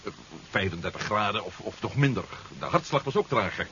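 A man's voice: fairly low pitch, lively intonation, a little fast, bright, forceful tone. A man acting a dry-humoured, inquisitive former astronaut in a 1970s radio drama. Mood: probing. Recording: muffled 1970s radio recording, faint hiss.

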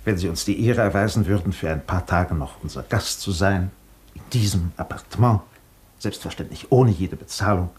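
An actor playing an obsequious, anxious hotel director in a German radio drama, stiffly courteous and deferential. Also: male voice, deep voice, normal speed, a little mellow, lightly acted. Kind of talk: German radio play acting.

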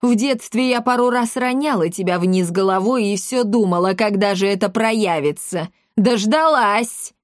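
A woman's voice: medium pitch, moderate pace, few pauses, dry recording, clean studio sound, lightly acted. A woman voicing a tiny old mother in a storybook, domineering, scolding and theatrically outraged. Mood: spiteful.

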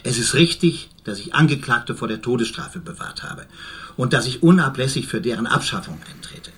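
Radio drama casting: older male advocate played by an actor, medium pitch, eloquent, measured, humane conviction. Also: lively intonation, a bit bright, close to an everyday voice. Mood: solemn conviction. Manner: measured, rhetorical, deliberate. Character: eminent male defence lawyer, humane, principled, eloquent. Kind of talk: German radio drama acting.

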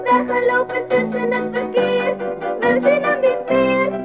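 An actor playing a lively, naive small child in a half-sung children's audio play on an old cassette. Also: very high voice, a little slow. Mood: anxious, singsong. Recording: old cassette with music behind. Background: children's music with guitar.

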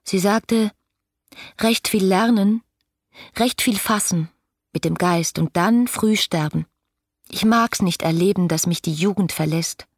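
A woman's voice: mid-range voice, unhurried, slightly rough. An actress playing a passionate, dreamy young woman in a German radio play, reading her letters in a lyrical, intimate, fervent manner. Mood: wistful.

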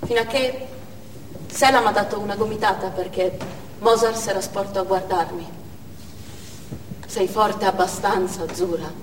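A woman playing an earnest young female militant in a measured theatrical monologue. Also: mid-range voice, steady tone, lightly acted. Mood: awed, moved. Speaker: stage actor. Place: indoor theatre stage, recorded from afar. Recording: distant, echoey hall with faint noise.

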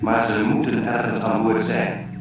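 A man's voice: on the low side, moderate pace, noisy background, natural voice. A man playing a cold, insistent male android who issues warnings in a radio drama. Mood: scheming. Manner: flat, warning, overbearing. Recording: echoey distant lo-fi with music.

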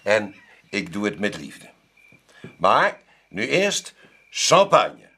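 Radio play: genteel, generous middle-aged gentleman, husky, courteous, lively intonation. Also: on the low side, drawn-out words, heavily acted. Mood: delighted, grateful. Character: genteel, generous male art owner, delighted and gracious. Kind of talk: radio drama acting.

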